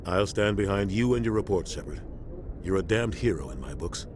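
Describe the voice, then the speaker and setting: Deep-voiced, grave starship captain. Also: male voice, lively intonation. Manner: measured, authoritative. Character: seasoned, loyal, grave military starship captain. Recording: clear engine-like ship noise behind.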